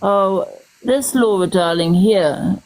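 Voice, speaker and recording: A woman's voice: mid-range voice, takes it slowly, a little mellow, poor audio. Teasing woman hosting a live stream. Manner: chatty, teasing. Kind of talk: casual chat.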